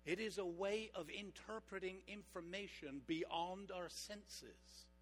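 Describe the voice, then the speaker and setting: A man preaching a sermon as pastor, engaging his congregation with rhetorical questions and slowing down for emphasis. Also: sits high. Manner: slows down for emphatic points.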